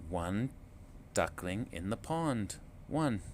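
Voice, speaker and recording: A man reading a picture book aloud to children in a slow, clear, expressive storytelling manner. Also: lowish voice, slight background noise.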